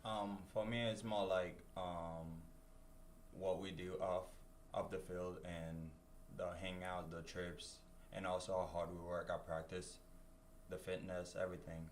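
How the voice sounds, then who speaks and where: A young man from Haiti, a student soccer captain answering in quiet, short phrases that trail off, in a college studio. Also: low voice, level tone, measured pace, slight background noise. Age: young adult. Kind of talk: casual interview answers.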